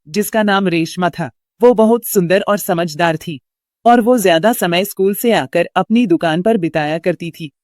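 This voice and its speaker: A woman's voice: medium pitch, darkish tone, no room sound. Female narrator for scripted storytelling; mid-range voice, recorded dry.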